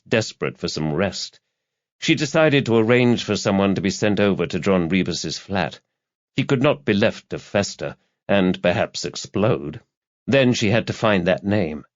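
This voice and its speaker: A man with a fairly low voice reads a literary thriller as an audiobook narrator. His delivery is measured and dramatic, like scripted storytelling. The sound is very dry and slightly dull, with no room around the voice. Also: dry recording.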